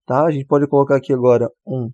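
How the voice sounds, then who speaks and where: A man explains code in a relaxed, mellow voice. He walks through each step and keeps checking in with the listener. The sound is dry and close, with no room around it. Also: mid-range voice, fast talker, no echo, highs cut off. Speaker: programming tutor. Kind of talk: screen-recorded coding tutorial.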